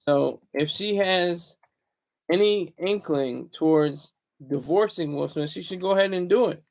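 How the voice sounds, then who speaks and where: A man hosting a casual livestream from a room at home, deliberately keeping his voice low and hushed, often halting and repeating words as he talks to viewers. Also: creaky. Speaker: livestream host.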